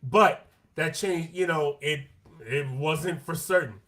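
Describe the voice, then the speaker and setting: A man talking as a podcast co-host in an easygoing sports chat. His voice sits a little on the high side, and he gets animated and slangy, repeating his points for emphasis. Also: takes it slowly, very noisy. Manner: animated, quotes players in their own voices.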